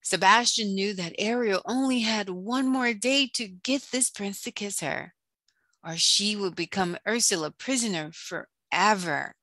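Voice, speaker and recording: A woman narrating a scripted children's storybook in a deliberate read-aloud manner. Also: mid-range voice, pushed and bright, dry recording.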